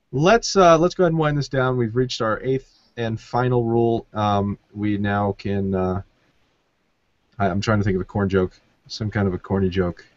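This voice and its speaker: A man's voice: fairly low pitch, normal speed. A man hosting a casual podcast chat in a jokey, rambling way, often losing his thread. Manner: rambling, jokey, loses his train of thought. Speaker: podcast host. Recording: dull, muffled lo-fi sound.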